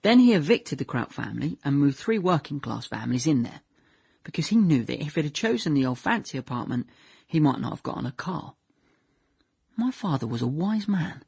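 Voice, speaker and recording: Husky, animated, naive young man reminiscing. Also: fairly high pitch, a little fast, dry recording, clean studio sound, exaggerated voice. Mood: deadpan reminiscing. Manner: deadpan, chatty, self-correcting, trailing into "yeah". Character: naive, rambling young man recalling his childhood under communism. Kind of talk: first-person radio drama monologue.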